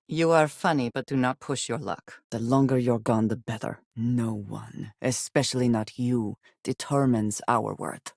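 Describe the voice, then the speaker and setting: An actress playing a guarded, serious woman space explorer in a video game, speaking in formal, measured phrases. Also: a bass voice, a little mellow, no room sound, studio quality. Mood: dry, wry, then stern. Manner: formal, measured diction with few contractions.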